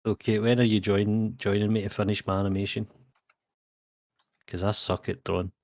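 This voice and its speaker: A man with a gravelly, fairly low voice who jokes around and swears casually. His audio is close and dry, with no room sound. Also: flat delivery, normal speed, darkish tone, no echo. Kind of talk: casual group chat.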